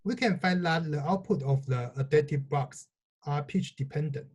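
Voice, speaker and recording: Slightly high male presenter, dark husky voice. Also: normal speed. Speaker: researcher presenting a paper. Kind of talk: scripted technical presentation.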